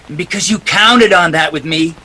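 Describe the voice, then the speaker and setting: A man's voice: on the high side, moderate pace, bright, forceful tone, poor audio. A man acting a hard-boiled, unyielding private detective in 1940s radio drama style, tough and emphatic. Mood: torn, resolute.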